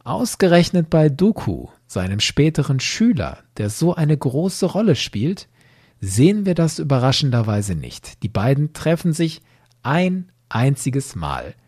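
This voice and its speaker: Animated, husky podcast narrator, male. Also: a little high, measured pace, no room sound, studio quality. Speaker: podcast host. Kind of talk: scripted podcast narration and commentary.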